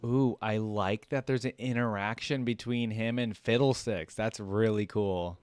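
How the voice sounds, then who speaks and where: A man's voice: fairly low pitch, fairly even intonation, unhurried, darkish tone, clear, resonant tone, no room sound. A man hosting a reaction video, talking casually and thinking aloud in slangy, conversational commentary.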